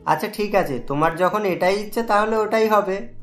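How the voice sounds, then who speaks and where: A man's voice: sits high, normal speed, fairly bright tone, faint music behind. A man voicing a caring, patient young husband and son in a Bengali cartoon, speaking reassuringly.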